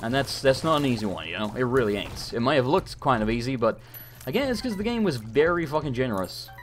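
A man commentating a live gameplay stream, hoarse and losing his voice, talking frantically and swearing often. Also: medium pitch, big pitch swings, fast talker, faint music behind. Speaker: gaming streamer.

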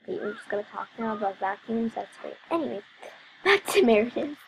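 A woman's voice: on the high side, very animated, a little fast, creaky, background noise. A young woman casually narrating a life-sim game as host, chatty and giggly with frequent ums and asides. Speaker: let's play host. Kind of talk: casual gameplay commentary.

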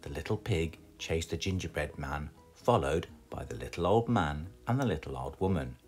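A British man reading a children's storybook aloud in an expressive, scripted storytelling style. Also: very deep voice, normal speed, soft background music. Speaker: storybook reader.

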